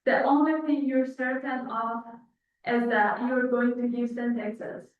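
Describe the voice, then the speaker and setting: A woman's voice: fairly high pitch, steady tone, measured pace. A young woman from Afghanistan with an Afghan accent, delivering a prepared personal speech. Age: young adult. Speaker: guest speaker telling her story. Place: large indoor church. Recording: thin phone-like sound, echoey hall, distant.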